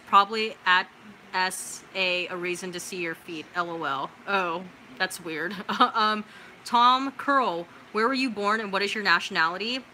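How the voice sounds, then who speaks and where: A young American woman with a bright, forceful voice at a medium pitch hosts a livestream. She reads out comments and reacts casually and expressively, with plenty of slang and some swearing. There is faint noise in the background. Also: unhurried, poor audio. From California, United States. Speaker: livestream host.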